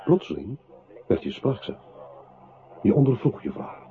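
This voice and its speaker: An actor playing an intense, insinuating narrator in a radio drama, theatrical and at times dropping into hushed tones. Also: male voice, mid-range voice, sing-song, a little slow. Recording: muffled, noisy phone-like old radio recording.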